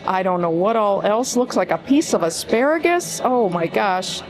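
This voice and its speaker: A woman with a slightly crackly voice chats to camera with easy enthusiasm, like a regular vlog host sharing a meal. Her pitch sits right in the middle of the range. Background music plays clearly under her voice. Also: lively intonation, moderate pace. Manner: chatty and enthusiastic. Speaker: vlog host and tour guide. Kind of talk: casual vlog chat to camera. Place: restaurant, indoors.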